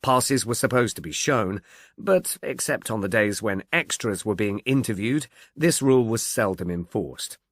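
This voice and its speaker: Guy reading a novel out loud, animated intonation, audiobook style, close and dry. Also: on the low side, normal speed, no echo. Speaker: audiobook narrator. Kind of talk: scripted literary narration.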